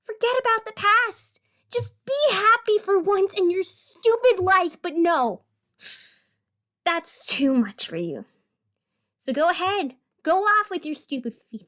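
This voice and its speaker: A very high-pitched female radio host in an audio drama, acted by a woman. She is wounded and intense, with feeling close to the surface. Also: fairly bright tone. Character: female radio host, wounded, fiery, turning soothing on air.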